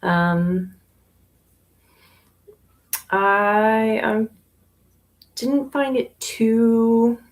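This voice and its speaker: A young adult woman from Canada, a yarn shop owner, chatting casually on a livestream while she knits, relaxed and unhurried, with plenty of ums and pauses. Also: on the high side, rough recording. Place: inside her yarn shop.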